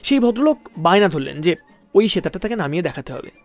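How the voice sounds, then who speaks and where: A man with an Indian Bengali accent and a fairly high voice tells stories as a radio host. His delivery is theatrical, stretching pauses for suspense and leaning on key words. Music plays under his voice. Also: sing-song. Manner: theatrical, with drawn-out suspenseful pauses and emphatic phrasing. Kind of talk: dramatic anecdotal storytelling for a music radio show.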